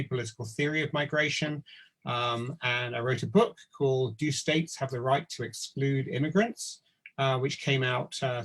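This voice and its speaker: An older professor speaking on a panel, halting often with ums and uhs. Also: male voice, fairly high pitch. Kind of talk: panel discussion.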